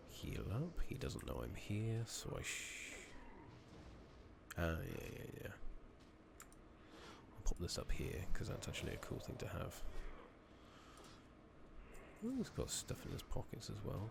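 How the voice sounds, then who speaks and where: A man giving hushed, casual, rambling ASMR commentary while playing a video game, speaking softly into a close microphone. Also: lowish voice, wildly swooping pitch, measured pace, creaky, long pauses. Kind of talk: soft-spoken ASMR gameplay commentary. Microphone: close USB condenser microphone. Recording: noticeable background noise, clicking.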